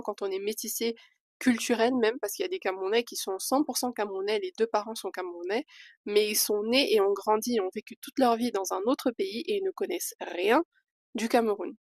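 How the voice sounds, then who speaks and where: A young woman from Switzerland talks candidly to her camera in a casual vlog. Her voice sits at an ordinary middle pitch, and she often pauses on small fillers as she gathers her thoughts. The sound is close and dry, with no room around it. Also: no echo. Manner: chatty and candid, with hesitant fillers. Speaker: vlogger.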